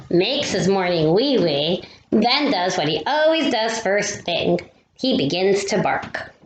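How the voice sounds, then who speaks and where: Female read-aloud storyteller for children: lively intonation, unhurried delivery, drawn-out emphasis, roomy recording. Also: mid-range voice. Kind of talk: expressive children's storybook reading. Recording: roomy echo with faint noise.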